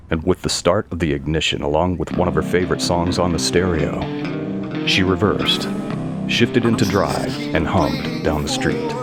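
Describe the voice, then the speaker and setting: A man narrating a scripted audio story. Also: deep voice.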